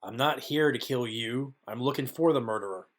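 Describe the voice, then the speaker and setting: A man's voice: mid-range voice, normal speed, natural voice. A man playing a wry, businesslike private detective narrating and questioning in a noir audio play. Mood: businesslike probing.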